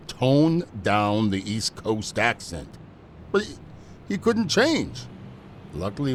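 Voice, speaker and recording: An actor playing a blunt, wry theater director, exasperated and wisecracking in a mock-documentary interview. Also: male voice, fairly low pitch, a little hiss or hum. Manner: blunt, wry, repeats words for emphasis.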